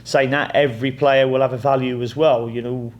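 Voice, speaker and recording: A man's voice: medium pitch, flat delivery, a little fast, lo-fi sound. A middle-aged man with an English accent, a head coach answering press questions in a plain-spoken way, often repeating words and saying "you know" as he thinks.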